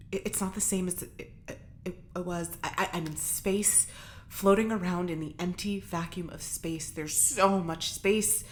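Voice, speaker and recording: A woman's voice: lowish voice, slight background noise, lightly acted. An actress playing an agitated, defiant woman prisoner in space, stammering and talking to herself as she fights panic in an audio drama monologue. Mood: panicked, self-soothing, then anxious, frantic.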